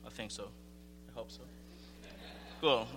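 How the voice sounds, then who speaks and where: A young man with an Ecuadorian Spanish accent gives an informal update to a gathering. His voice is bright and his delivery hesitant and modest. Long hall reverb and faint noise surround him. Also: medium pitch, a little slow. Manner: hesitant, self-deprecating, informal. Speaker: young adults ministry leader. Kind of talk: informal ministry update to a congregation. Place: large room, speaking to a gathering.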